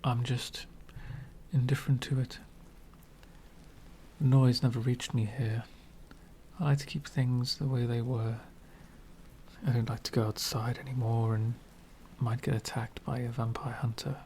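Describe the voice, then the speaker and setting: A man playing an elegant, centuries-old vampire host in an ASMR roleplay, lonely, wry and courtly, soft-spoken with frequent whispers and gentle condescension. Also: medium pitch, level tone, unhurried, slight background noise, natural voice. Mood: disdainful, resigned. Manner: soft-spoken, often dropping into breathy whispers.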